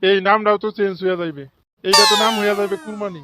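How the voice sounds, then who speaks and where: A man's voice: high-pitched, steady tone, racing, natural voice. A man voicing a corrupt, self-righteous office official in a comic cartoon, with a Bangladeshi regional accent and sanctimonious, pious phrasing. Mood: sly, self-justifying. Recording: loud music behind the voice. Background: loud music.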